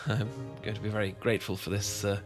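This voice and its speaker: A man with an English accent playing a charming, shy young gentleman vampire in an ASMR romance roleplay, polite and old-fashioned, often stumbling over his words. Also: lowish voice, fairly even intonation, brisk, faint music behind, close to an everyday voice. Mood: shy, courteous. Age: young adult.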